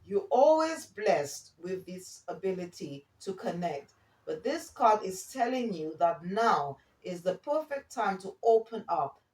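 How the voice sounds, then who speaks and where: Woman, spiritual card reader, unhurried and hesitant, roomy echo, distant microphone. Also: medium pitch, sing-song, darkish tone. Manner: hesitant, halting, often checking in with okay and all right. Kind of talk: spiritual card reading, partly read aloud, partly improvised.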